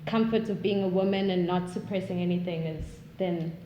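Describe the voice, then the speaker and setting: A woman's voice: on the low side, steady tone, brisk, warm tone, slight background noise. Reflective woman, echoey room. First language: not English. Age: 20s. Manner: earnest, reflective, searches for words. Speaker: podcast guest. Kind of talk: casual conversation. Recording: roomy echo.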